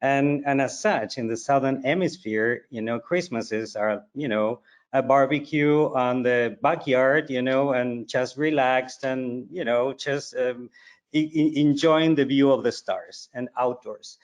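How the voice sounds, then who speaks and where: A man from Argentina speaks over a video call. His voice sits at a middle pitch, and his delivery is heartfelt and a little halting, full of "you know" and restarts. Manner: warm, emotional, many fillers and restarts. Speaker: company team member and guest. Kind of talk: heartfelt virtual event remarks.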